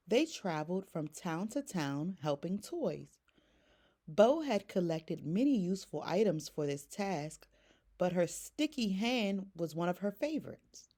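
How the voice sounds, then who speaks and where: A woman reading a bedtime story aloud to children in an expressive, deliberate storytelling style. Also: on the low side.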